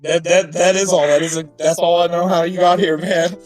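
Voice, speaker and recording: A man's voice: fairly high pitch, little pitch movement, a little fast, over music. A man playing a tabletop game, speaking in character with a teasing, mocking edge and frequent laughs. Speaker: player. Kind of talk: casual tabletop game talk.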